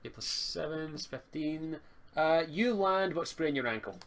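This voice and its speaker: A man's voice: a little high, expressive, measured pace, a bit bright, a little hiss or hum. A Scottish man narrating an online tabletop role-playing game as game master in an informal, casual way.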